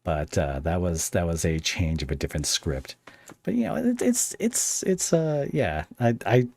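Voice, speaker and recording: A man with a low-pitched voice hosts a relaxed, conversational interview. The sound is dry, with hardly any room in it. His phrasing is somewhat halting as he works out what to say. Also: no room sound. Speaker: podcast host and interviewer. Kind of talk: casual interview.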